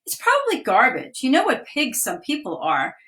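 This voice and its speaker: A woman's voice: sits high, huge pitch swings, moderate pace, fairly bright tone, full character voice. A woman voicing a skeptical young girl in a children's story, speaking in an offhand, dismissive way.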